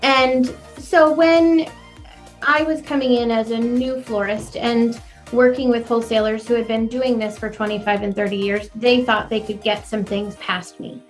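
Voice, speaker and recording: A woman in her forties, a florist and business owner, telling her story in a chatty, animated way with a young-sounding voice. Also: fairly high pitch, moderate pace, soft background music. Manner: chatty and animated, laughs easily. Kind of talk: conversational interview.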